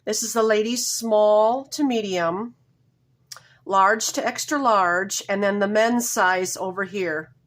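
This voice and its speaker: A woman with a slightly hoarse voice from a cold, hosting a casual step-by-step knitting tutorial from home in a homey, apologetic way, often pausing to correct herself. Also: medium pitch.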